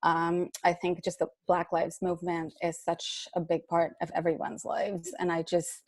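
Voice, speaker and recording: A woman's voice: lowish voice, completely flat delivery, normal speed, no room sound. A woman from India, an artist introducing herself on a panel call, light and hesitant with a laugh now and then.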